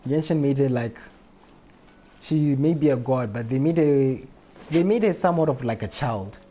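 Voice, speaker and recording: Mellow-voiced man chatting on a game stream. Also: medium pitch, moderate pace, a little hiss or hum. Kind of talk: casual gaming livestream chat.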